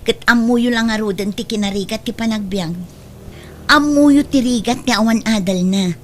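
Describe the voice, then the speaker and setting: A responsible younger Filipino sister played by a woman in a radio drama, with a fairly high voice. She sounds caring and earnest, firm about helping her family. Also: normal speed, a little hiss or hum, lightly acted. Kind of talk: Ilocano radio drama acting.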